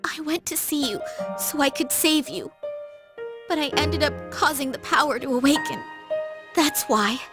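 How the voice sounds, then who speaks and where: An actress playing a desperate, devoted younger sister in an English anime-style video game, with an emotional, pleading manner. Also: female voice, sits high.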